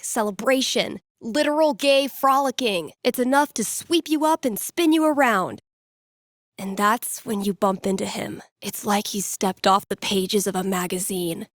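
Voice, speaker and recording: A woman's voice: medium pitch, dry recording, lightly acted. A woman voicing an upbeat young radio DJ in a video game, conversational and teasing, with dry humour as she talks to callers. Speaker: radio DJ taking calls and telling fortunes. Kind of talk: playful radio host patter, storytelling to callers.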